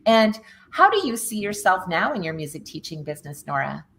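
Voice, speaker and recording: A woman's voice: fairly low pitch, sing-song, fairly quick, a bit bright, a little hiss or hum. A woman from Canada hosting a casual interview, upbeat and enthusiastic as she promotes her event. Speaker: host.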